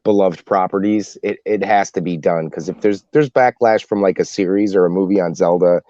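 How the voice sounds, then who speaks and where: A man in a casual group discussion, rambling as he thinks out loud. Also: low-pitched, a little fast, dry recording, dull audio. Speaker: podcast panelist.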